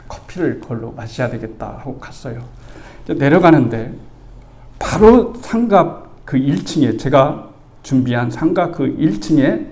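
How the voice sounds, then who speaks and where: Gravelly older Korean pastor telling a story. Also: male voice, medium pitch. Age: 60s. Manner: conversational, asks the congregation for agreement; animated storytelling, acts out the dialogue. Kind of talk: storytelling sermon.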